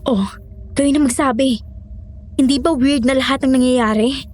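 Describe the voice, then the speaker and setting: A woman's voice: high voice, fairly even intonation, moderate pace, a little mellow. A woman acting an anxious, questioning cook in a Tagalog horror audio drama. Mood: wary, questioning.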